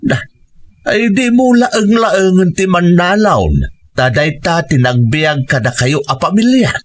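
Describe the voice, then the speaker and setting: A man with a Filipino accent playing a dutiful farmer son who gently scolds his mother in a radio drama. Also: sits high, animated, unhurried. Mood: pleading. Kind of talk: Ilocano radio drama acting.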